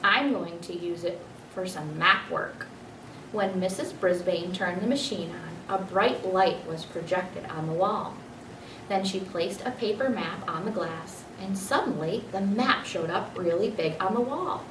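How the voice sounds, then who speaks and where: A woman reading a children's storybook aloud in an expressive narrating style. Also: medium pitch, a little slow. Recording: echoey room, distant mic, faint noise.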